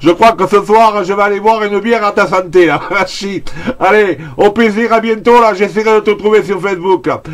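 A man's voice: sits high, brisk. A man talking casually as a CB radio operator, peppering his phrases with little tag words, over a radio link. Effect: CB radio transmission. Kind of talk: casual radio contact chat.

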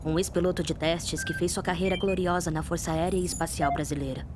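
An actress playing a female narrator of a space saga, measured and dramatic, with a Brazilian accent. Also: fairly low pitch, fairly even intonation, noisy background, lightly acted. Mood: wry. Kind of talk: scripted narration.

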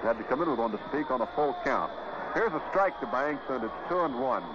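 A man's voice: mid-range voice, lively intonation, brisk, muffled recording. Man calling baseball on noisy vintage radio. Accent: American. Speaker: baseball play-by-play announcer. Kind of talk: 1950s radio baseball commentary. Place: ballpark radio broadcast. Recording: noisy, band-limited 1950s radio broadcast.

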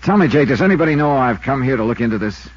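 An actor playing a tough, shrewd insurance investigator in a dry, hardboiled manner in a 1950s radio drama. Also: male voice, medium pitch, expressive, lightly acted. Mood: cool, probing. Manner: dry, hardboiled, deliberate phrasing. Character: tough, shrewd male insurance investigator narrating his case. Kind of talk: 1950s radio detective drama narration and dialogue. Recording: muffled 1950s radio recording.

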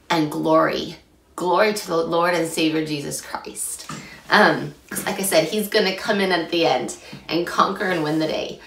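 Hoarse young woman teaching scripture. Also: on the low side. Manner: chatty and animated, gesturing a lot. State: hoarse after illness. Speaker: scripture study host. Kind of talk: casual scripture lesson to camera. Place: small home room. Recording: echoey room, mic at a distance.

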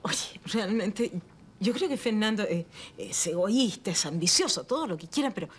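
Calm Chilean rancher woman, even-toned reasoning, expressive melody. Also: mid-range voice, moderate pace, breathy edge. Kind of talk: 1990s telenovela acting. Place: indoor living room.

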